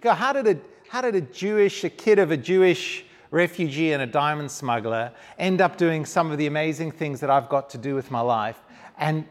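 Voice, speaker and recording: A man's voice: fairly high pitch, moderate pace. A man from Zambia, a guest speaker telling his life story with self-deprecating humor in an onstage interview. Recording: long hall reverb.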